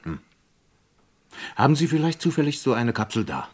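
An actor playing a polite but unyielding police inspector in a vintage radio crime drama, formal and probing. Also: male voice, mid-range voice, big pitch swings, moderate pace, husky. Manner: formal, probing, coolly courteous.

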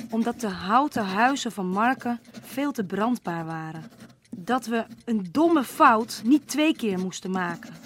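A woman narrating a letter with slow, reflective delivery. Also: fairly high pitch, a little hiss or hum. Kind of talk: scripted letter-reading narration.

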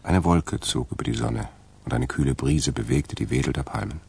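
Crime drama narrator: a man with a very deep, creaky, gravelly voice, some music underneath. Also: sing-song, brisk, darkish tone, no echo.

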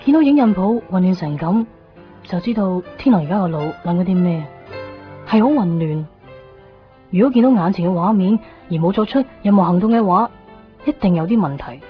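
A woman's voice: fairly low pitch, lively intonation, fairly quick, lightly acted. An actress playing an introspective, guilt-ridden young woman in a Cantonese radio drama, with a Hong Kong accent. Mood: pensive narration. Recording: dull 1990s radio recording, faint music.

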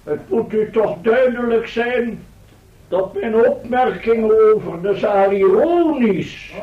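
An actor playing a grandiloquent professor in a radio play, orating to townsfolk in florid, drawn-out, declamatory phrases. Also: male voice, high voice, distant mic. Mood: placating, exasperated. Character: grandiloquent male professor from the future addressing townsfolk. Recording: dull echoey radio recording, crowd noise.

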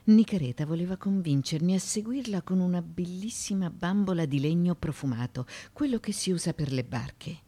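A woman's voice: on the low side, expressive, normal speed, few pauses, warm tone. An actress playing an ancient Greek mother haunted by her childhood, in a theatrical radio monologue of broken musing. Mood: haunted, reminiscing.

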